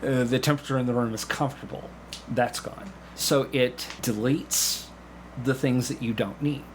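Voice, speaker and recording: A middle-aged man speaking as an interview guest, explaining things conversationally with pauses mid-sentence. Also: medium pitch, steady tone, a little hiss or hum. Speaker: podcast guest, actor and hypnotist.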